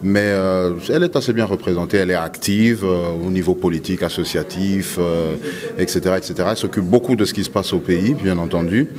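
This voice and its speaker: A man's voice: deep voice, a little fast, crowd noise. A man from DR Congo who grew up in Switzerland, talking casually as an interview guest and hesitantly repeating words as he thinks. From born in DR Congo, grew up in Switzerland and Belgium.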